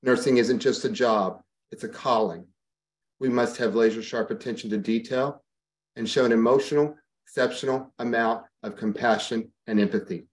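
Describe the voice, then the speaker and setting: A man reading a prepared campaign speech as a board candidate, steady and serious. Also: medium pitch, moderate pace.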